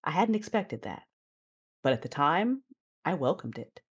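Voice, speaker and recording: A woman playing a passionate, fierce but wounded Sith warrior, narrating her own story in an intimate, breathy audio-drama style. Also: sits low, very animated, measured pace, heavily acted. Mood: tender, passionate. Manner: intimate, breathy, intense delivery.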